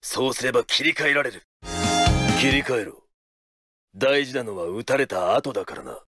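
A man playing an earnest, passionate senior ace pitcher who leads his team in a game. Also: expressive, normal speed, close to an everyday voice. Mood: fiery. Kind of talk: anime baseball game voice lines. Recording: loud music under the voice.

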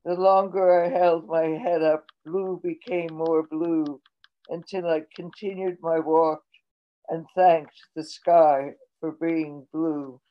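A woman in her eighties reading her own poetry aloud in a slow, measured way over a video call from her home. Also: low-pitched, level tone. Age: 80s. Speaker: poet reading her work. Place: home room, indoors. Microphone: laptop webcam on video call. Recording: dull lo-fi video call audio.

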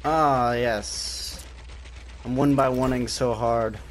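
A man's voice: mid-range voice, steady tone, slow, a little hiss or hum. A young man giving casual, slangy gameplay commentary as a streamer, talking in short muttered bursts. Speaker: game streamer.

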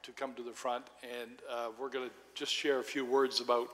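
Bright-voiced older pastor in an echoey hall. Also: male voice, mid-range voice, level tone, brisk. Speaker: pastor interviewing a guest. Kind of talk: informal church interview. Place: church sanctuary stage. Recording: echoey church hall with long reverb.

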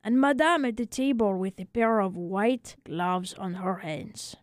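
A woman playing an excitable, tender-hearted little French barber telling his tale in a dramatic, emphatic way for a radio story. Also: mid-range voice, unhurried, dry recording, clean studio sound, lightly acted. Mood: sorrowful, admiring.

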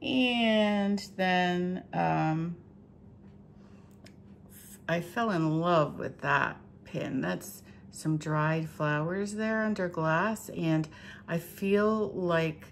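A woman's voice: lowish voice, drawn-out words, creaky, slight background noise. A middle-aged woman casually showing off thrift store finds, chatty and hesitant with plenty of fillers. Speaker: antique reseller showing a thrift haul. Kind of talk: casual show-and-tell vlog.

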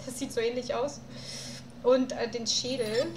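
A young woman talking casually through a game at home, musing aloud as she works on puzzles. Her voice sits a little high. The recording is roomy, with a steady hiss of noise behind it. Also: measured pace. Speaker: let's player.

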